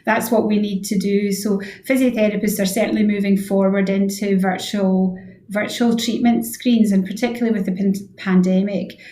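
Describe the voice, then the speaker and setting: A woman's voice: medium pitch, steady tone, moderate pace, dark tone. A woman giving an informal radio interview as a physiotherapy expert, earnest and stressing key points by repeating them. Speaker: interview guest, head of physiotherapy. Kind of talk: informal radio interview, explaining health advice. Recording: roomy, echoey sound.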